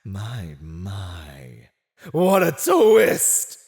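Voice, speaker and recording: A man's voice: fairly low pitch, huge pitch swings, a little mellow, heavily acted. A man playing a silver-tongued villain warlord who taunts a captive in a theatrical, mocking, teasing way for an ASMR roleplay. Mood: gleeful mockery. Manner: teasing, mocking, theatrical, drawn-out delivery with chuckles.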